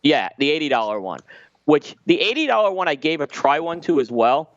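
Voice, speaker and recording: A man's voice: fairly high pitch, sing-song, a little fast, no echo. Man explaining cigar flavors, dull dry sound. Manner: detailed, explanatory tasting talk. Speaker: cigar podcast co-host. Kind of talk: casual panel chat. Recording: slightly dull lo-fi audio.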